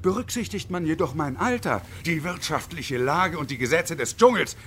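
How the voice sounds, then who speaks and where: A man's voice: a little high, lively intonation, normal speed, fairly bright tone, slight background noise. Agitated, sarcastic man in his fifties. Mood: bitter, sarcastic. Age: 50s. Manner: agitated, biting, bitterly ironic. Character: desperate, sarcastic unemployed engineer in his fifties. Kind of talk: radio drama acting.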